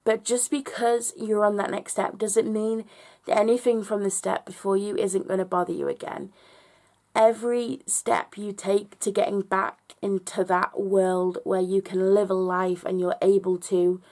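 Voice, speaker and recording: A woman records a personal vlog, talking straight to her audience in a reflective, earnest way. Her voice is slightly hoarse, with plenty of vocal fry and a gravelly edge. She speaks at an ordinary, mid-range pitch. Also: darkish tone. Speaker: vlogger.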